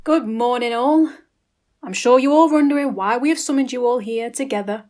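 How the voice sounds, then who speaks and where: A woman's voice: on the high side, a little fast, a little mellow, lightly acted. A woman playing a pompous, bumbling senior detective aunt in an amateur comedy whodunnit. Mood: self-important.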